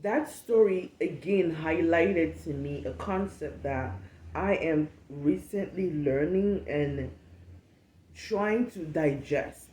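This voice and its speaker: A woman's voice: sits low, takes it slowly, warm tone, roomy sound, low-quality recording. A woman in her late twenties from Cameroon with a Cameroonian accent, hosting a candid solo podcast, frank and emphatic with repetition. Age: late 20s. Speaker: podcast host.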